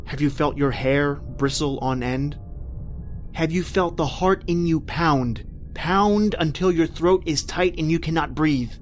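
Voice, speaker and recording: A man's voice: a little high, measured pace, faint music behind, close to an everyday voice. An actor playing an anguished married man trapped in prehistoric time, telling his horror in melodramatic old-time radio style. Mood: dread-filled. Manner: melodramatic, intense, repetitive narration.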